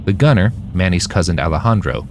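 Deep-voiced man narrating with lively pitch swings. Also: brisk, a little hiss or hum. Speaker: narrator of a fiction podcast. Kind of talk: scripted audiobook-style narration of a dystopian war novel.

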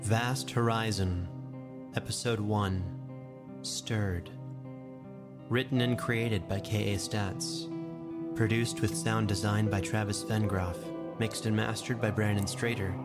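Low-voiced man reading credits over loud music. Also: fairly even intonation, slow. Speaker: credits announcer. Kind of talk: scripted podcast credits narration. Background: loud instrumental music.

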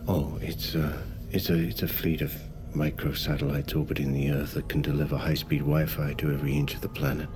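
An actor playing a wealthy middle-aged magnate, speaking formally in a measured, halting way. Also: male voice, very deep voice, level tone, background noise. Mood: assured, explanatory. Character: wealthy, controlled, grave male business magnate. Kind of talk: naturalistic audio drama acting.

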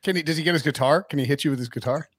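Young guy w a bit of rasp, kinda higher voice, dry close mic, jokey football pod cohost. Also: no room sound. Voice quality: called raspy by others. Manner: quick laughs, wry, gets animated. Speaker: sports podcast co-host. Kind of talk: casual banter, reading a report aloud.

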